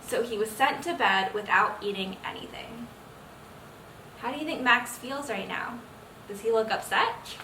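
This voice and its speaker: A young adult woman reading a picture book aloud to children, expressive and full of engaging questions. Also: fairly high pitch. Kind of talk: children's read-aloud storytelling. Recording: roomy echo, distant mic, faint noise.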